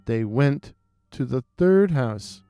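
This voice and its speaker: A man narrating a scripted folktale in a deliberate, theatrical way, with weighted pauses. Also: medium pitch, expressive, dark tone, clean tone, dry recording, faint music behind. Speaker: storyteller.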